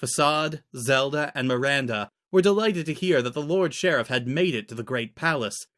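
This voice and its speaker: A man's voice: medium pitch, lively intonation, moderate pace, a bit bright. A man narrating a story in the style of a dramatic audiobook reading.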